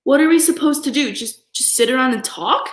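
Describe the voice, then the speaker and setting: A woman's voice: high voice, brisk, roomy sound, voice pushed up, natural voice. A woman voicing an indignant young bear cub protesting in a whiny, exclaiming way for a storybook. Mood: exasperated.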